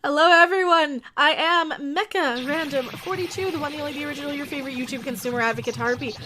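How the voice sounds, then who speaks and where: A woman's voice: high voice, fast, sharp tone, slight background noise. A sniffly woman hosting a casual live stream, rambling bluntly with restarts and asides to her chat.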